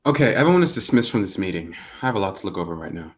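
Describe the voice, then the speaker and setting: A man's voice: low-pitched, very animated, racing. A man acting a stern, demanding company boss in an amateur radio play, formal and authoritative. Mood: dismissive.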